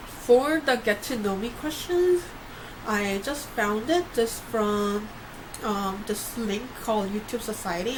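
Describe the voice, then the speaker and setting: A young woman who grew up in Korea and New York, chatting casually to camera in a vlog, with lots of fillers and restarts. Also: mid-range voice. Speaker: YouTuber. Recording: noisy home recording.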